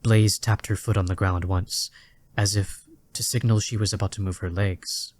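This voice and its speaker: A man reading scripted story narration in a deliberate, dramatic way. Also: lowish voice, fairly even intonation, a little mellow.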